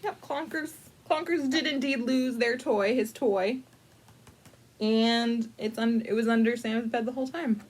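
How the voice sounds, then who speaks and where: A woman's voice: on the high side, slightly rough. A woman narrating a picture book in a casual, improvised storytime style, with playful, wry asides and thinking aloud as she goes. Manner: playful, wry asides with mock-dramatic commitment; conversational, frequently pausing to think aloud. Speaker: library storyteller reading a picture book to adults.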